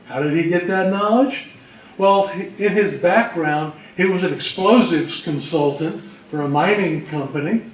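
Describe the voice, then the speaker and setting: A man with a slightly high voice gives an informal prepared presentation. He explains things in orderly lists. The sound is very roomy and a bit distant, with faint noise behind him. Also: a little slow. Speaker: co-presenter of a history talk. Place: room presentation before an audience.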